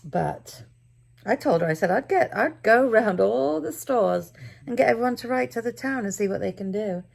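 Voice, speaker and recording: A middle-aged woman with a raspy, tired voice, chatting casually to camera in a rambling, self-deprecating daily vlog. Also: on the high side, animated, normal speed, a little mellow. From United Kingdom. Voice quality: raspy, gravelly. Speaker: vlogger.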